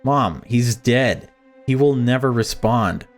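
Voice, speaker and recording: A man's voice: mid-range voice, animated, takes it slowly, soft background music. A man voicing a distraught young daughter, fed up and pleading, reading visual novel dialogue aloud. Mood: distressed, pleading.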